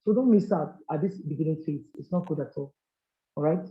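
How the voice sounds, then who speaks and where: A man's voice: fairly high pitch, fairly quick. A man giving a business leadership training, earnest and coaching, often checking in with "all right?". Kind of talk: live training presentation to a group. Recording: roomy, muffled lo-fi recording.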